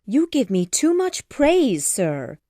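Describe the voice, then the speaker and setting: Raised bright young woman voice a woman playing her, firm, earnest, lively pitch, dry sound. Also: takes it slowly, dry recording. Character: spirited young woman firmly refusing a proposal. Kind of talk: storybook character voice.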